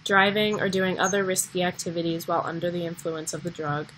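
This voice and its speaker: A young American woman narrates a documentary from a script and puts questions to interviewees. Her voice sits a little low, with some noise behind it. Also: little pitch movement, a little fast, a bit bright, low-quality recording. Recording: noticeable background noise.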